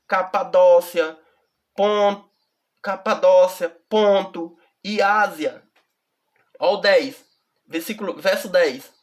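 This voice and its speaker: A woman with a Brazilian accent teaching a Bible study, reading verses slowly and deliberately and often checking in with the listener. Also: medium pitch. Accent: Brazilian Portuguese.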